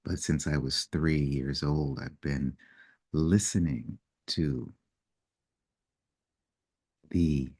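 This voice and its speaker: A man speaking in an unhurried, reflective way as he tells a personal story, now and then acting out what people said. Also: rumbling low, slightly rough. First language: English. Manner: unhurried, reflective storytelling with lively acted-out quotes. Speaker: personal development facilitator.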